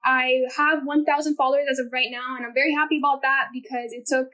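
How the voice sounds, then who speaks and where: A teenage girl from Miami, a student advisor as interview guest, talking earnestly and eagerly in quick runs of thought. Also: high voice, fairly even intonation, pushed and bright. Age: teens. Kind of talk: casual interview chat.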